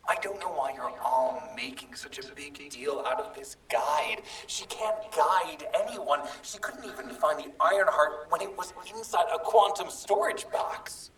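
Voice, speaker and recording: A man's voice: fairly high pitch, expressive, unhurried, few pauses, a bit bright. An actor playing a taunting, embittered young male traitor in a game cutscene, with a mocking, theatrical delivery. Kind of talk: dramatic game cutscene acting. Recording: echoey, distant-sounding call.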